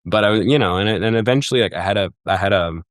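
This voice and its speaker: Young man, from Egypt, very low voice, dry close sound, casual podcast guest, rambling, many fillers. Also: fast talker, no echo. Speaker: guest, festival booker.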